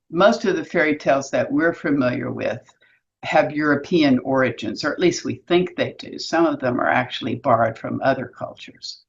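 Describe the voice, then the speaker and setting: A woman's voice: deep voice, highs cut off. A woman presenting an educational explainer in an articulate, instructive way with a lightly wry touch. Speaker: presenter of an educational video series, author and workshop leader. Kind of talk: semi-scripted educational explainer.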